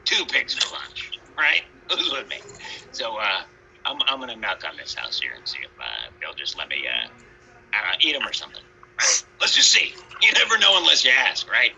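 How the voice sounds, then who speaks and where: A man playing a hungry, hapless Big Bad Wolf in a comic children's story, hesitant and rambling with deadpan asides. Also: lowish voice, moderate pace, vocal fry, highs cut off, a little hiss or hum, heavily acted. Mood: musing, hungry.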